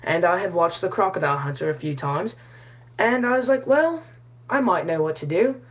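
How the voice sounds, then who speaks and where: Low-pitched woman with a put-on British-Australian accent. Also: animated, normal speed. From United States, Kansas. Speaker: comic storyteller. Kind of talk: comic monologue.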